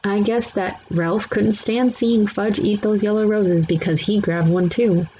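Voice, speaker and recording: A woman's voice: medium pitch, steady tone, unhurried, dark tone. A woman narrating a children's book aloud as a scripted story, on a slightly dull, faintly noisy recording.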